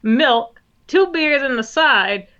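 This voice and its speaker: A woman's voice: sits high, expressive, slow, pushed and bright. A woman joining a game stream, teasing and chatting casually with some swearing. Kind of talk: casual gaming stream chat. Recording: dull lo-fi mic.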